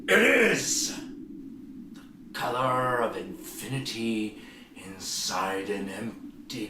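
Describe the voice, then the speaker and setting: A man giving a theatrical dramatic reading of song lyrics, drawing lines out and suddenly stressing words. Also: mid-range voice. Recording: noisy, roomy echoing recording.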